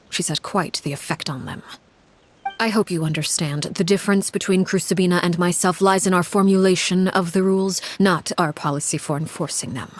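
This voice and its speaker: A woman voicing a cold, imposing female head of household called Father in a video game, speaking in a measured, formal, menacing way. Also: fairly low pitch, lightly acted. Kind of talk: scripted video game acting. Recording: clear background noise with clicks.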